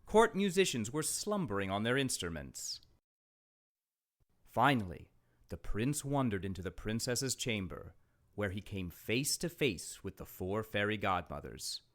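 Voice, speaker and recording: A man narrating a children's fairytale as a storybook reader, in a dramatic, expressive way. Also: on the low side, unhurried.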